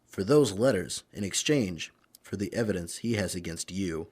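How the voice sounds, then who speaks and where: A man's voice: deep voice, lively intonation, normal speed, darkish tone, breathy edge. A man playing a confident masked vigilante in an old-time radio crime drama, clipped, sly and commanding.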